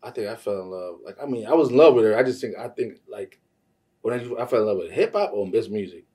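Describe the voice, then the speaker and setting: A man from Trinidad speaks in a low, very mellow voice, sitting somewhat back from the microphone. He rambles through casual interview answers with slang and loose swearing. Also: expressive, fast, husky, recorded from a distance. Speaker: podcast guest, music producer and songwriter.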